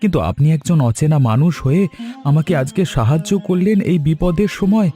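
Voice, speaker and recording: A man's voice: a little high, brisk, warm tone, faint music behind, lightly acted. A man playing a humble, hardworking village woodcutter in a cartoon, plaintive and earnest. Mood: grateful, earnest. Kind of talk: Bengali cartoon story acting.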